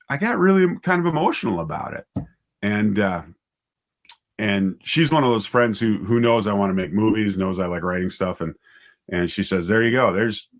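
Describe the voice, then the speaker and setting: A man in his fifties telling stories as an interview guest, conversational and halting, often repeating words and correcting himself. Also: fairly low pitch, a bit bright. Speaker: writer and director, interview guest.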